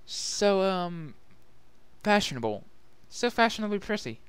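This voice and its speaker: A man voicing a brash, awkward young female pony with a blunt but halting manner, in a dramatic story reading. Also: high voice, sing-song, clear, resonant tone, dry recording, pitched above the performer's own voice. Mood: awkward, sheepish. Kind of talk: dramatic fan-fiction reading.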